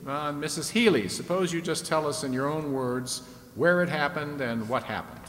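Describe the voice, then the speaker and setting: A man's voice: on the high side, normal speed, fairly bright tone, lightly acted. A man playing a genial, talkative 1950s radio game show host with folksy showman's patter. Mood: genial, explaining. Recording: reverberant hall with faint noise.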